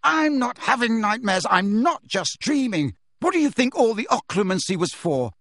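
A man voicing a desperate, hot-tempered teenage boy wizard in an audiobook, speaking roughly and aggressively. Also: high-pitched, big pitch swings, moderate pace, a bit bright, no echo, studio quality, pitched above the performer's own voice, full character voice. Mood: furious, insistent. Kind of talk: audiobook character voice.

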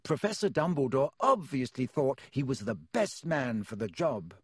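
A man voicing an earnest, slightly anxious teenage schoolgirl witch, raising his voice higher. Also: sing-song, moderate pace, slightly rough, no room sound, clean studio sound.

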